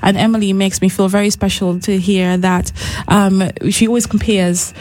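This is a woman with a mid-pitched voice, acting as host. She introduces her guests in a chatty way. Her manner is effusive and full of compliments. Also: fairly even intonation, normal speed, a little mellow, poor audio. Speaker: radio host, leader of a conservation project. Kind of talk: warm introductions and chatty interview.

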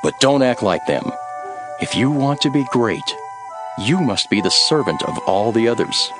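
A man playing a calm, authoritative religious teacher in a solemn, declarative way, in a dramatized scripture recording. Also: medium pitch, unhurried, over music. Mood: calm, instructing. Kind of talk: dramatized Bible audio.

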